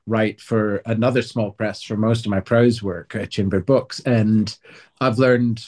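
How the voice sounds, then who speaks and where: A man's voice: lowish voice, steady tone, unhurried, darkish tone. A man talking as an author guest in a conversational interview, earnest and wordy with a wry streak.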